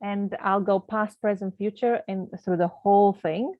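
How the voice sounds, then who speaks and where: A woman's voice: medium pitch, fairly even intonation, normal speed. A woman teacher from Canada, whose first language is not English, explains grammar patiently, with many fillers and restarts. Her voice comes through a tinny, phone-like video call. The sound is dry, with no room echo. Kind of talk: online grammar lesson.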